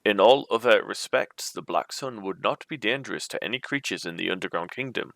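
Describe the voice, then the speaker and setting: A man's voice: on the low side, expressive, brisk, vocal fry, fairly bright tone, dry recording. A man reading an adventure storybook aloud as its narrator. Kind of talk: reading a choose-your-own-adventure book aloud.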